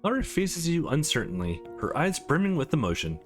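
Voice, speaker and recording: A man reading visual novel narration aloud in an expressive, theatrical way, as a let's play streamer. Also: fairly high pitch, fairly quick. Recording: music behind the voice.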